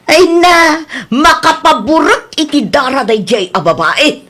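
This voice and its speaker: Brief: woman plays an exclaiming mother for radio drama, fairly high voice. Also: unhurried, fairly bright tone. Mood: worried. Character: middle-aged mother, fussy and talkative.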